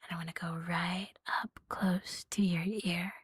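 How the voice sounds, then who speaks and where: A woman's voice: on the low side, level tone, unhurried, breathy edge, no echo. A woman whispering breathily in an intimate, soothing ASMR roleplay as a catgirl girlfriend, very close to the microphone. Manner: mostly whispering, close and soothing, lingering on repeated words. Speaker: ASMR performer.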